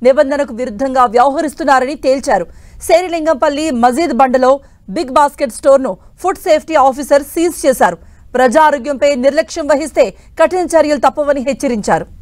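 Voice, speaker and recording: A woman's voice: high-pitched, a little fast, darkish tone, dry recording. A woman presenting scripted breaking news as a studio anchor.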